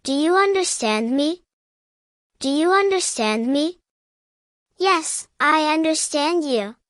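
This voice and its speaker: A woman with a distinctly high voice reads a beginner language drill. She speaks slowly and carefully in short, evenly measured phrases, repeating each one. The sound is dry, with no room echo. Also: animated, a bit bright. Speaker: English teacher narrator.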